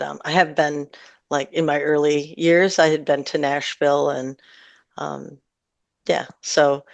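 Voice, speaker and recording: A woman's voice: low voice, gravelly, no echo. A woman with a Midwestern American accent, chatting casually as a singer-songwriter guest in an interview, thinking aloud in loose, unfinished sentences. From northern Michigan, USA. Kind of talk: casual radio interview chat.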